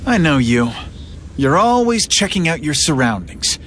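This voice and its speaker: An actor playing a sarcastic, joking young superhero in a game cutscene. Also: male voice, fairly high pitch, big pitch swings, measured pace, fairly bright tone, slight background noise. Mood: wisecracking. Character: wisecracking young male superhero.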